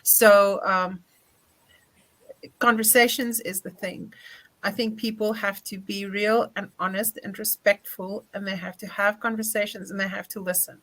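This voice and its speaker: A middle-aged woman with a South African accent answering earnestly and in a measured, emphatic way as a panelist. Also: mid-range voice, fairly even intonation, fairly bright tone, poor audio. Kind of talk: thoughtful panel discussion answer.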